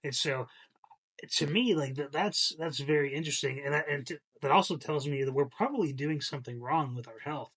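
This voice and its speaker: A man's voice: on the high side, a little fast, fairly bright tone, slightly rough. Young male host, mild congestion, loose rambling commentary to camera. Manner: rambling, repeats words, many fillers. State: slightly congested from a cold. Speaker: channel host.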